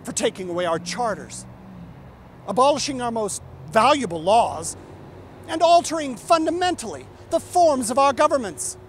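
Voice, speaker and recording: A man's voice: very high-pitched, big pitch swings, normal speed, slight background noise. A man giving an impassioned, indignant and emphatic oratorical recitation of a historical document. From United States. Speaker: reciter, live presenter.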